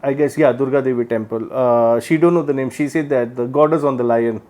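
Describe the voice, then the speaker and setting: A man's voice: medium pitch, steady tone, a little fast, darkish tone. A man in a casual chat, telling an anecdote in loose, informal grammar and nodding along with short murmurs. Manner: chatty, loose grammar, frequent "mm" backchannels.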